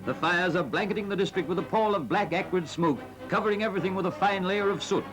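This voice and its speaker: Male vintage newsreel narrator, high-pitched, grave formal drama, loud music underneath on an archival soundtrack. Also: fast, low-quality recording.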